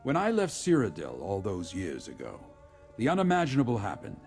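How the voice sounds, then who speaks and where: A man playing a remorseful, resolute middle-aged general in a video game, with a gravelly voice and formal, measured military speech. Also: on the low side, huge pitch swings, darkish tone, soft background music, full character voice. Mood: haunted, reflective. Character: remorseful, resolute veteran male general, father of a grown daughter. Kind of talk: scripted video game character dialogue.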